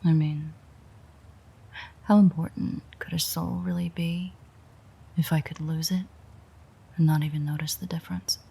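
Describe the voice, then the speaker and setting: A woman playing a weary, sardonic, teasing female vampire in an intimate audio roleplay, with a breathy, husky voice that often drops near a whisper and a dry, sarcastic way of talking. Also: low-pitched, level tone, a little slow, pauses often, warm tone, heavily acted. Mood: weary, musing. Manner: dry, rambling, sarcastic banter.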